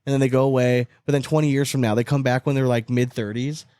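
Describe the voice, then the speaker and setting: A man's voice: mid-range voice, fairly even intonation, fast, warm tone, clear, resonant tone, dry recording, clean studio sound. Blunt, profane man, casual podcast host. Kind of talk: casual sports banter.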